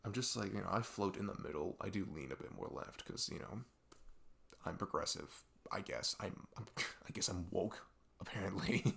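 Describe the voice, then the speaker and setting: Man playing male best friend, audio roleplay, fry-heavy voice, slightly deep, rambling, earnest, filler-heavy, conversational. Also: animated, a bit bright, exaggerated voice. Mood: frustrated, sheepish. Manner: rambling, halting, filler-heavy, laughs self-consciously. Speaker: ASMR audio roleplay performer. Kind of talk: casual improvised-sounding roleplay monologue addressed to the listener.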